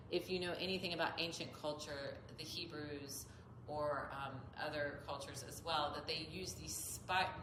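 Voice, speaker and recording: A woman with a slightly nasal voice explains as a yoga teacher in an informal, earnest, rambling tutorial. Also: low voice. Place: echoey indoor yoga studio. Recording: roomy echo, clearly noisy background.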